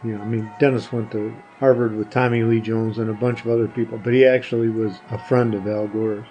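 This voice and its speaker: An older man reminiscing as an interview guest, plain-spoken and a little halting. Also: on the low side, flat delivery, mellow, faint music behind, poor audio.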